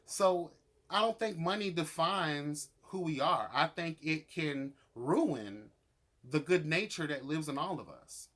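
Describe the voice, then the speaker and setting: A man with a voice pitched a little higher than most delivers a casual review as a vlogger. He is sassy and opinionated, leaning hard on his points. His wording is slangy and he swears freely. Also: expressive, slow pace, a bit bright. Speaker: vlogger reviewing reality show episodes.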